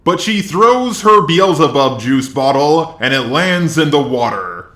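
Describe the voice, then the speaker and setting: A man's voice: a little high, sing-song, measured pace, pushed and bright, echoey room. A man's synthetic robot voice narrating a scripted review with sarcastic, profane asides. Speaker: video reviewer.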